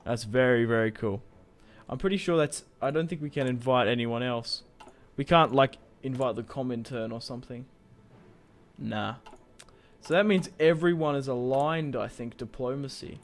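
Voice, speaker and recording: A young man chats through a strategy game at an ordinary mid pitch. He rambles, swears casually and keeps it loose. Soft game music sits faintly behind his close desk mic. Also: normal speed. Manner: chatty, rambling, swears casually, laughs at own moves. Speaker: gaming YouTuber. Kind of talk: casual let's-play commentary.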